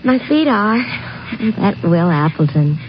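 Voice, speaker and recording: An actress playing a sweet, easily alarmed young college girl in a 1950s radio drama, with a girlish, expressive manner. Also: lowish voice, measured pace. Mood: chatty, light. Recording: muffled, faintly noisy old radio broadcast.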